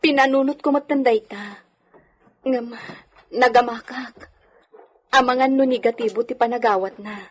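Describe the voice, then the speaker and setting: A woman's voice: a little high, moderate pace, long pauses, natural voice. A woman playing an anxious, sorrowful young lady of wealth confiding in her maid in a radio drama. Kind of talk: Ilocano radio drama acting.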